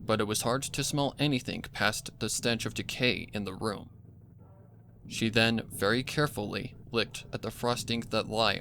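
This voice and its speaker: Slow, low-voiced man narrating. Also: fairly bright tone, a little hiss or hum. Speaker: fan fiction narrator. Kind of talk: scripted horror story narration.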